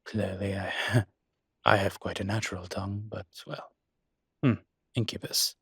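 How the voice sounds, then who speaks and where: A man's voice: sits low, little pitch movement, unhurried, a bit bright, breathy edge, no echo, studio quality, full character voice. A man playing a refined incubus demon professor in an intimate audio roleplay, explaining things in a hesitant, genteel and slightly irritated but patient manner. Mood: thoughtful, explaining.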